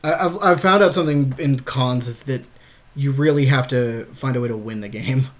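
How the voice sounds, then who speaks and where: A man's voice: mid-range voice, moderate pace. A man chatting casually as a gameplay co-host, chiming in with slangy asides and strategy thoughts. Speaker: podcast co-host. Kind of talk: casual chat, gameplay commentary.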